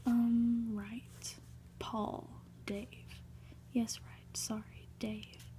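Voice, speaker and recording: A woman voicing an awkward, apologetic, reassuring male family doctor in a storybook, speaking haltingly. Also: on the high side, flat delivery, in a whisper. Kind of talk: whispered storybook character reading.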